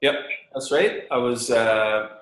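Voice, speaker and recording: A man's voice: mid-range voice, slow pace, fairly bright tone. A young Canadian man talking casually as an interview guest, thoughtful and rambling, with many fillers and restarts. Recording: roomy echo.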